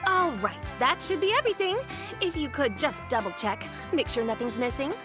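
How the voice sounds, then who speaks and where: A woman playing a shrewd but kindly merchant in a video game, speaking in a wry, teasing, expressive way. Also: high-pitched, moderate pace. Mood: wry, businesslike. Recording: music behind the voice.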